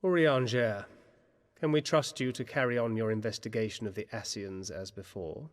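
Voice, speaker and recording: An actor playing a kindly, learned comrade in a fantasy game, with articulate, old-fashioned speech. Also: male voice, fairly low pitch, normal speed, lightly acted. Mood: reassuring. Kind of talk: archaic fantasy game dialogue. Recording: long hall reverb.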